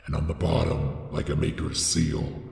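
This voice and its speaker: Very deep, gravelly man narrating gothic horror. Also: level tone, normal speed, a little mellow. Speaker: narrator reading a horror story. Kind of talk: scripted dramatic horror audiobook narration. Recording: echoey, long reverb on the voice.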